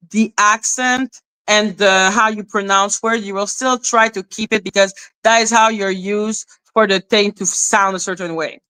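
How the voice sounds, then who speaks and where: Bright-voiced woman ranting. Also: medium pitch, clean tone, no room sound, rough recording. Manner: sarcastic, exasperated, rambling. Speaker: livestream host. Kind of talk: ranting live-stream commentary.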